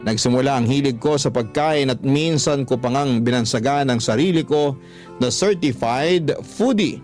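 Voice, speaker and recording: A man's voice: mid-range voice, normal speed, darkish tone. A man narrating a scripted, first-person story as the storyteller of a radio drama. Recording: music under the voice.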